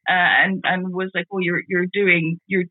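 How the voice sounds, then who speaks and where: A woman in her forties from the Netherlands, with a Dutch accent, talking casually as an interview guest, halting and often repeating words as she restarts. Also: fairly low pitch, flat delivery, husky, dry recording. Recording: tinny phone-like call audio.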